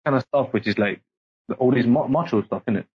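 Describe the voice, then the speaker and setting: A man's voice: mid-range voice, brisk, breathy edge. A young man with a British accent, talking casually as an interview guest, rambling with lots of "like" and "innit". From Singapore. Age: young adult. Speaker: interview guest, army corporal. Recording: muffled lo-fi audio.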